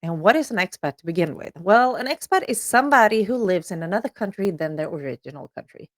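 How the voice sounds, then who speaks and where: A middle-aged woman with a Swedish accent hosts a solo podcast announcement, chatty and upbeat with plenty of ums. Her pitch swings up and down animatedly, and the recording is close and dry with no room sound. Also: expressive, fast, no room sound. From Sweden. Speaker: podcast host.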